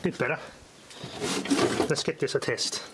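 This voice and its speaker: A man casually talking through a hands-on demonstration, rambling and muttering to himself as he works. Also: unhurried. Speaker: hobbyist vlogger testing radios. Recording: loud noise with handling clicks.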